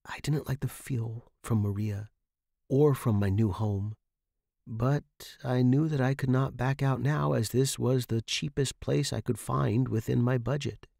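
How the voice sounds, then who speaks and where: A man's voice: medium pitch, fairly even intonation, unhurried, gravelly, darkish tone, no echo, studio quality. A man reading scripted first-person fiction as the narrator.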